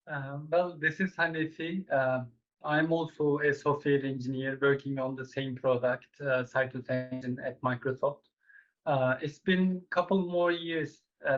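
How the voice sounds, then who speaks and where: A man from Turkey with a Turkish accent, speaking informally and haltingly as a software engineer co-presenting a talk. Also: mid-range voice, fairly even intonation, muffled recording. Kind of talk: informal self-introduction in a talk.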